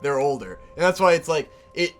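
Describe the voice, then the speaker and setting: A man in his twenties chatting casually as co-host, animated and laughing easily, swearing for emphasis. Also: fairly high pitch, a little fast, faint music behind. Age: 20s. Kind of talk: casual chat.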